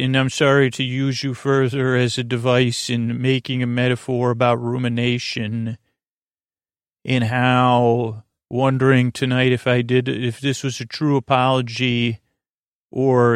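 Creaky guy doing a sleepy podcast ramble, dry close sound, keeps trailing off and restarting, kinda soothing. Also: mid-range voice, level tone, dry recording. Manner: meandering, halting, self-interrupting. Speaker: host of a bedtime sleep podcast. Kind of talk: rambling, whimsical bedtime monologue.

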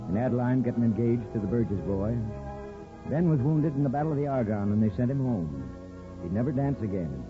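An actor playing a gruff but soft-hearted middle-aged small-town father in a 1950s radio drama. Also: medium pitch, close to an everyday voice. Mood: wistful narrating. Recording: muffled, thin 1950s radio with music.

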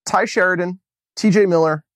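A man's voice: high voice, fairly even intonation, normal speed, no echo, studio quality. An American man co-hosting a podcast, chatting casually about a film with enthusiasm and plenty of fillers and restarts. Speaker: podcast co-host. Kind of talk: casual movie review chat.

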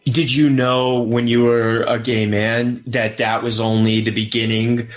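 A man's voice: mid-range voice, flat delivery, measured pace. A man interviewing a guest, encouraging her to tell her story and laughing along often. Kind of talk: conversational interview.

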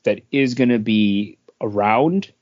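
A man's voice: lowish voice, slow, no room sound, highs cut off. A man co-hosting a casual podcast, talking in an easygoing way and laughing often. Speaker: podcast co-host.

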